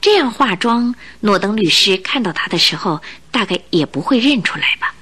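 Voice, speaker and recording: A woman narrating a radio drama in Chinese, in an expressive, scripted storytelling style. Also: medium pitch, moderate pace, slightly rough.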